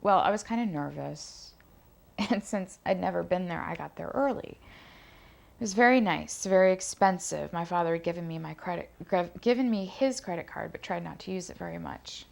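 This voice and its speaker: A woman's voice: lowish voice, moderate pace, vocal fry. A young woman playing a shy, hesitant daughter giving interview-style testimony, often correcting herself, in a dramatised documentary. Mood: uneasy recollection. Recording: faint background noise.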